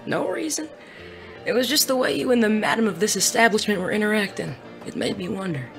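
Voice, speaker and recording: Smooth-talking gentleman bandit acted by a woman, polite and wordy with marked vocal fry, audio Western. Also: medium pitch, animated, brisk, soft background music. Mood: sly, courteous. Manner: genteel, formal, smooth-talking. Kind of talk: audio drama acting.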